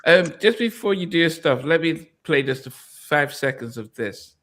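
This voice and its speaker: A man's voice: on the high side, lively intonation, normal speed, fairly bright tone. A man hosting a casual podcast, talking loosely with many fillers and restarts and laughing easily. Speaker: podcast host.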